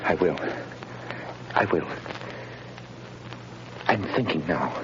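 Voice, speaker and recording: A man playing a condemned prisoner awaiting execution, who talks in an anxious, bewildered and halting way, in 1930s radio drama style. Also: extremely low pitch, expressive, highs cut off, exaggerated voice. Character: condemned young man awaiting the electric chair, desperate. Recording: scratchy, hissy 1930s radio broadcast.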